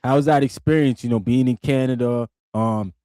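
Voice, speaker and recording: A man's voice: medium pitch, fairly even intonation, normal speed, mellow, no echo. A man hosting a podcast, asking casual questions and filling in with short, easygoing affirmations. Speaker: podcast host. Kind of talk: casual interview chat.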